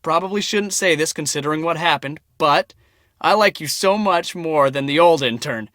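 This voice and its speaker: Eager, bright-voiced young storm chaser. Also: male voice, a little high, no room sound, clean studio sound. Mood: confiding. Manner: enthusiastic, casual, confiding. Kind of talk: casual scripted game dialogue.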